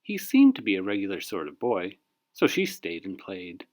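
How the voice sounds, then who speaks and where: A man narrating a children's storybook aloud in an expressive read-aloud style. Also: low-pitched, normal speed. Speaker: storybook reader.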